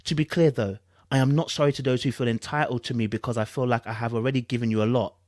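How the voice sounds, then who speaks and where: A man's voice: mid-range voice, fairly even intonation, fast talker, a little mellow, no room sound, clean studio sound. A British man doing casual online commentary, reading aloud dramatically and repeating phrases for emphasis in a teasing, incredulous way.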